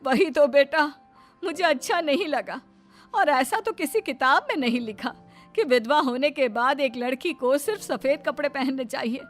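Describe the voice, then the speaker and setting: Lady doing an older indian-drama style mother-in-law, highish voice, earnest n dramatic, music underneath. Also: expressive, moderate pace. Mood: remorseful, earnest. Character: older mother-in-law, stern then remorseful and caring. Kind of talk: dramatic Hindi cartoon moral-story voice acting.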